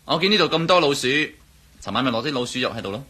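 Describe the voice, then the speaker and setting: A sly, evasive henchman in a radio drama, with a Hong Kong Cantonese accent. He sounds glib and mocking, with a sharp, slightly raspy tone at a middling pitch. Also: animated, very fast. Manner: sarcastic, glib.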